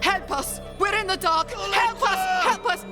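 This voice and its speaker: A woman's voice: very high-pitched, measured pace, loud music behind the voice. A woman playing a terrified, stranded crew member pleading for rescue in an audio drama.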